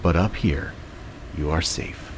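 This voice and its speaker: Male meditation narrator, low register, very unhurried scripted delivery with long pauses. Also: background noise. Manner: slow, measured, with long pauses.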